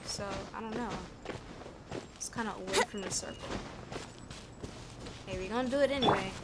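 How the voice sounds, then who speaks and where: A woman's voice: mid-range voice, normal speed, very noisy. A woman streaming a game, thinking aloud in informal, muttered commentary to herself, with music behind her.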